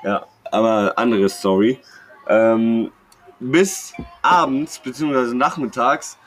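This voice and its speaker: A man's voice: mid-range voice, fairly even intonation, slow. A young man chats casually as a podcast co-host, telling personal anecdotes in a slangy, rambling, jokey way. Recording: clear background noise.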